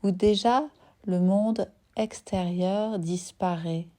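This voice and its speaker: A woman leads a guided yoga nidra relaxation as the teacher, soothing and unhurried, leaving long pauses between short phrases. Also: medium pitch, fairly even intonation, dark tone. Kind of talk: guided meditation.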